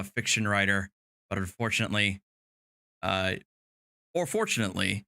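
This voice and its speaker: A man hosting a casual livestream, talking haltingly and wandering into asides. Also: fairly low pitch, sharp tone, clean tone, dry recording, studio quality. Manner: halting, hesitant delivery with trailing asides. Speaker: livestream host.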